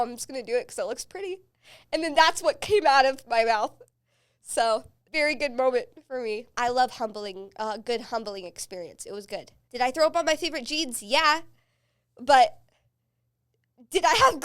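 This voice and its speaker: High-voiced young woman telling stories. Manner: chatty storytelling with frequent laughs and swearing. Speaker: podcast host. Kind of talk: casual chat.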